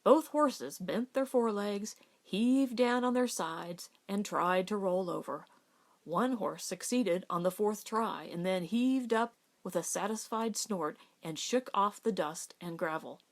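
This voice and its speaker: A woman narrates an audiobook of a novel. Her voice sits at a medium pitch. The delivery is scripted literary reading. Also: a little slow.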